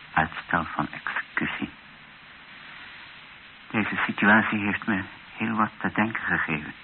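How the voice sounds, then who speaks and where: Weary bishop, low voice, grave and reflective, radio drama, hissy old recording. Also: male voice, narrow, tinny audio. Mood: resigned. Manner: reflective, grave, rhetorical, with deliberate pauses.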